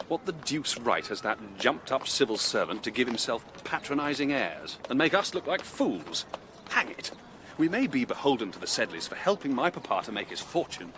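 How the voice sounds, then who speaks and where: An actor playing an arrogant, teasing young upper-class lieutenant in a radio period drama, with a posh, mocking delivery. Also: male voice, medium pitch, noisy background, close to an everyday voice. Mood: indignant, snobbish. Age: young adult. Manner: mocking, swaggering.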